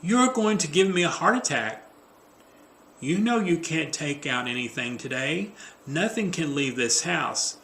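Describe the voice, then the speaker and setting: Man reading as a bossy, fretful wife, bright echoey sound. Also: fairly high pitch, animated, unhurried, pitched above the performer's own voice. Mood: scolding, alarmed. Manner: fretful, insistent, melodramatic. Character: Jennifer, bossy superstitious excitable wife.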